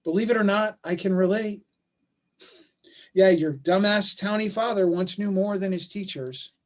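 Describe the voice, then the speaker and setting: A male actor plays an earnest, baffled father in a naturalistic stage drama. His voice sits high for a man. He speaks haltingly and puts himself down as he tries to keep the peace. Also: lightly acted. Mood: confiding. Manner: halting, earnest, self-deprecating. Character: baffled, conciliatory working-class father of a gifted son. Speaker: stage actor in a play reading. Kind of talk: staged play reading, naturalistic dialogue. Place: remote video call.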